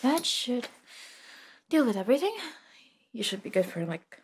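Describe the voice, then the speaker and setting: A woman playing a friendly, reluctant modern witch in a soft-spoken, gently informal ASMR roleplay that slips into whispers. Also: on the high side, big pitch swings, moderate pace, background noise. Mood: soft, soothing.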